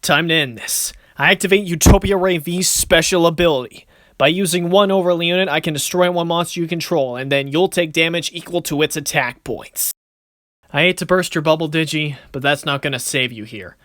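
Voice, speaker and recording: A man's voice: a little high, fairly quick, a bit bright, no echo, clean studio sound, close to an everyday voice. A man voicing a confident, cocky but good-natured young card duelist in casual, swaggering banter for an amateur anime-style series. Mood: battle-ready, confident.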